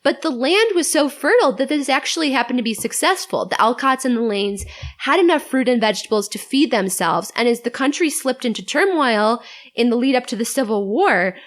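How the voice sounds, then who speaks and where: A woman's voice: a little high, animated, fairly quick. A woman telling a semi-scripted story on a podcast in a conversational, articulate, lightly wry way. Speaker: podcast guest.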